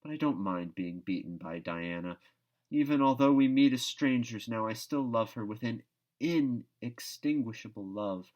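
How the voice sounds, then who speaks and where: A man's voice: on the low side, a little mellow, close to an everyday voice. A man voicing an earnest, imaginative schoolgirl who speaks in grand words with melodramatic emphasis, in a storybook reading.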